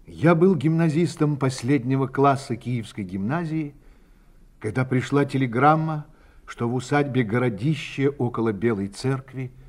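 An actor narrating a radio play as a man looking back on his life, in a slow, reflective manner that falls to a hush at the ends of phrases. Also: mid-range voice. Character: adult man recalling his youth, reflective and grave. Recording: studio narration over music. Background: music score.

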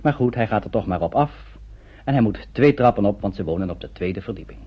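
A man narrating a radio drama from a script in a husky voice. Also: on the low side, animated, brisk. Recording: thin, phone-like old radio recording.

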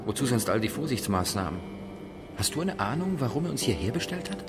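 A wary companion in a radio drama. He sounds hushed and uneasy, questioning in a mid-range voice over clear music. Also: mid-range voice, sing-song, a little fast. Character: wary, uneasy male companion questioning his old friend. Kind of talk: German radio drama acting.

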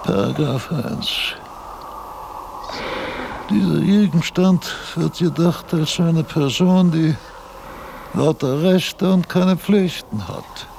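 An actor playing a frail aged philosopher, laboriously dictating abstract thoughts in broken phrases with long pauses, in a radio drama. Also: male voice, on the high side, vocal fry, dark tone, a little hiss or hum. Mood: solemn, searching. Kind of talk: slow, halting radio-drama monologue.

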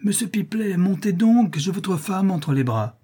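An urgent, hurried male caller played by a man reading a storybook, teasing his listener from upstairs. The voice is piercing and raised well above the reader's normal pitch. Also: lively intonation, dark tone, husky. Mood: urgent, pressing. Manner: pressing, hurried calls.

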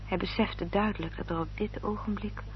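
A woman's voice: medium pitch, steady tone, brisk, natural voice. A woman playing a devoted, protective sister in a radio drama, speaking in a formal, literary and carefully articulated way. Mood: grave, anxious. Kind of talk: Dutch radio drama acting and narration. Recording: dull, noisy old radio recording with hum.

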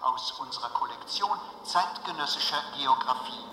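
A far-off, echoing official recording with some noise behind it. The voice is bright and formal, a radio-drama announcement of smooth bureaucratic courtesy. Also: male voice, mid-range voice, lively intonation, moderate pace. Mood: politely menacing. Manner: formal, unctuously polite officialese. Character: ancient recorded planetary answering announcement, excessively courteous and bureaucratic. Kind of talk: audio drama acting as taped public announcement. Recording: distant, echoing hall sound with noise.

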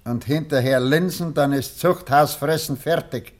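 A man's voice: a little high, measured pace, close to an everyday voice. A man playing a brash, scheming ex-convict tramp with a Bavarian accent in a German radio drama, sly and sardonic.

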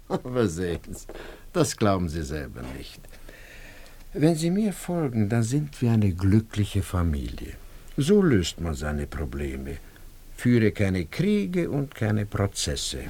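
An actor with an Austrian accent playing a smug, scheming suitor who threatens coolly in a radio drama. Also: male voice, lowish voice, huge pitch swings, slow pace, warm tone, breathy edge, full character voice. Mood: smug, menacing. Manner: insinuating, smug, sardonic.

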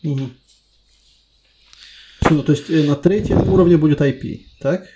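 A man conducting a conversational technical interview, setting out his questions deliberately, step by step. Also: a little high, noisy background.

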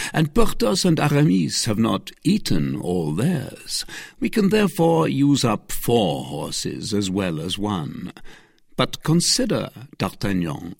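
A man's voice: on the low side, creaky, darkish tone, husky, dry recording, studio quality, exaggerated voice. A man voicing a grave, solemn musketeer in a storybook, speaking with weighty emphasis. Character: solemn, grave musketeer, worldly older comrade.

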